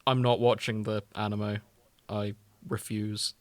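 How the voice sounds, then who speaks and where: A man's voice: on the low side, flat delivery, unhurried, no echo. Man chatting, close dry sound. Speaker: podcast co-host. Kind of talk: casual chat about fandom.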